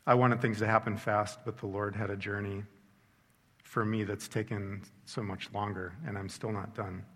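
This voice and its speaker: Earnest middle-aged man, low voice, reverberant hall. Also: level tone, fairly bright tone. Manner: sincere, measured, with slow emphatic pauses. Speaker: speaker giving a personal faith testimony. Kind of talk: earnest reflective testimony talk.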